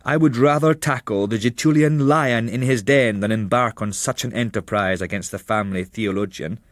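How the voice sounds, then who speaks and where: A man reading a literary travel memoir as narrator, in a measured, expressive storytelling manner. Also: lowish voice, dry recording, studio quality.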